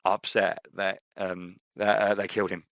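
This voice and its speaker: A man's voice: sits low, level tone, normal speed, creaky, a bit bright, dry recording, clean studio sound. A man co-hosting a podcast, chatting casually and enthusiastically about films, repeating himself for emphasis. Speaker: podcast co-host. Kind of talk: casual film discussion.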